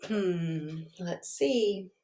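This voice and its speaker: A woman's voice: lowish voice, animated, extremely slow, mellow. A woman reading a storybook aloud to children as a teacher would, expressively, with asides and questions to the listeners.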